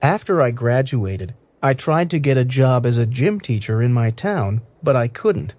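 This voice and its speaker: Brief: male reader for learner audio, careful diction, lively intonation, dry booth sound. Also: mid-range voice, normal speed, dry recording. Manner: clear, careful, evenly paced delivery. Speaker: English listening practice reader. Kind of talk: slow scripted reading for learners.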